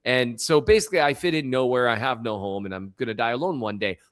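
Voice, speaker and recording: A man's voice: medium pitch, fast talker. Rambling man with a slight Minnesota accent. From upper Midwest and Missouri. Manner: rambling, self-deprecating, chuckles often. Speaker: podcast co-host. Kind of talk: casual sports podcast chat.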